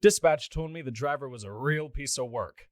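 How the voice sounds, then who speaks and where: A man's voice: mid-range voice, moderate pace, darkish tone, no echo, natural voice. A man playing an exasperated middle-aged police officer reading out an official report in a radio play. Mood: grim, bewildered. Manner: official, dry, flustered asides. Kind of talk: scripted radio play monologue, formal report.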